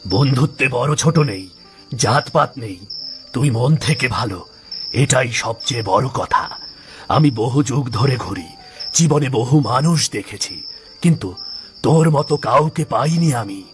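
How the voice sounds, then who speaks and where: A man's voice: medium pitch, animated, a little slow, long pauses, faint music behind, close to an everyday voice. A man voicing a kindly ancient giant demon in a cartoon ghost story, speaking solemnly and reassuringly, with lofty promises.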